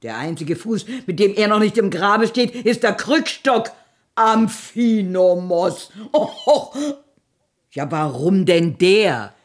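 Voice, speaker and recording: A woman's voice: medium pitch, animated, slow pace, roomy sound. A woman playing a blunt, mocking, worldly-wise older servant and confidante in a German radio play, dramatic and sardonic. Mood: appalled, mocking.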